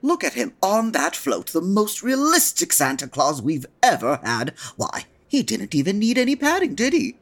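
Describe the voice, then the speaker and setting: Woman acting prim department store worker, old-fashioned radio drama, husky, hugely animated, effusive, easily shocked. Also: mid-range voice, moderate pace, hardly any pauses. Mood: gushing. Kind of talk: 1940s radio acting pastiche.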